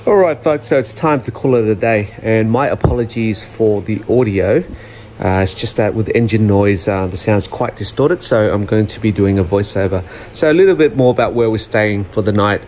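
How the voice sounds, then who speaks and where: A man recording an informal voiceover for his fishing vlog, relaxed and using fillers. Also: lowish voice, moderate pace, slight background noise. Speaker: vlog narrator. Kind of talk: voiceover narration.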